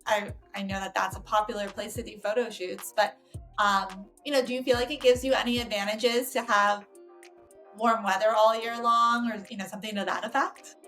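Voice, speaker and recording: A woman's voice: mid-range voice, level tone, moderate pace, pushed and bright, faint music behind. A woman hosting a casual interview podcast, chatty and full of "like" and "you know" fillers. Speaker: podcast host and realtor. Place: Orange County, California.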